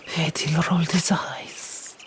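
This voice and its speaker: A woman reads a scripted story in a soft whisper, ASMR style. She takes it extremely slowly, phrasing each part deliberately and with a sense of drama. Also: low-pitched, flat delivery. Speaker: audiobook narrator.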